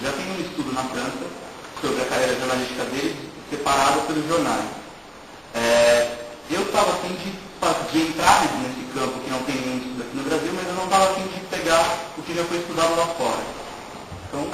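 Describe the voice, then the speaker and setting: A young Brazilian man presents research in an informal student conference style. He speaks haltingly at a middling pitch, often repeating words as he goes. The recording is very echoey and picked up from a distance, with loud noise throughout. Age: young adult. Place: indoor room at an academic conference.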